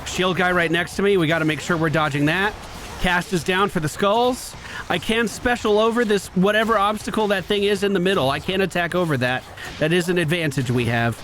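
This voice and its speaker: A man's voice: high voice, brisk, a bit bright, faint music behind. A man giving casual, playful video game commentary, explaining things expressively as he plays. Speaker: video game player and host.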